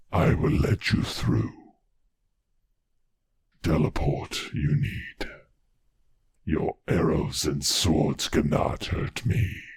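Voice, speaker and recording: A man voicing a huge, haughty, menacing dragon for fantasy game lines, in a slow, gravelly, raspy growl. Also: a bass voice, no room sound, heavily acted. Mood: ominous. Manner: slow, drawn-out, menacing delivery. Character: huge menacing male dragon guarding his hoard, haughty and threatening.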